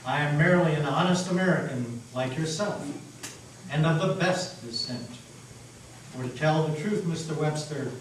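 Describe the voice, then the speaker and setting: A man playing a suave, sinister devil who poses as a gentleman, formal and boastful, in a dramatic reading. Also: fairly high pitch, lightly acted. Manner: formal, boastful, self-assured. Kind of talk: dramatic stage reading of a story. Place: meeting house. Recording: echoey hall, distant mic, faint noise.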